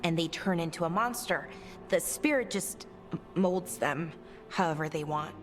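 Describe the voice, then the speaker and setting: An actress playing an earnest, caring young woman adventurer in a fantasy game, speaking haltingly and tentatively. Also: on the low side, soft background music, lightly acted. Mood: hesitant, worried.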